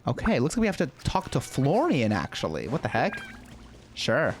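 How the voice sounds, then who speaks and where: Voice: mid-range voice, wildly swooping pitch, normal speed, a little hiss or hum. A game streamer chatting casually and reacting with exclamations and swearing, sometimes reading game text aloud.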